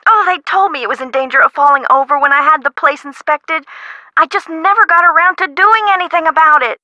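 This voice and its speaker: An anxious, flighty young woman from an adventure game. Her voice is high and bright, with a dry sound. She chatters emphatically, apologetic and a little breathless. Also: brisk, dry recording, dull audio. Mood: nervous, urging. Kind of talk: video game phone dialogue.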